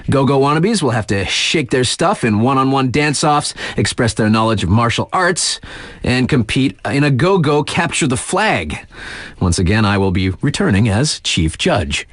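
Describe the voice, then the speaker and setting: Animated, sardonic radio DJ. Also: male voice, medium pitch, normal speed, no echo, studio quality. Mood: playful, announcing. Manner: wry, sarcastic, slangy and conversational. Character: laid-back, sardonic young male mountain-town radio DJ. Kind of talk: scripted local radio news patter.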